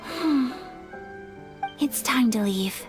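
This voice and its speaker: A polite young lady of noble birth in a video game. The voice is high and tender, with careful formal phrasing, over clearly audible music. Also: expressive, extremely slow, exaggerated voice. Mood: wistful. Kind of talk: animated game voice acting.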